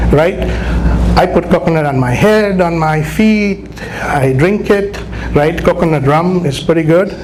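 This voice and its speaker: A middle-aged man with a Sri Lankan accent gives a conversational motivational speech to an audience, often checking in with "right?". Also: on the high side. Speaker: guest motivational speaker. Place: live talk at a business chamber event. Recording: clear background noise, live event.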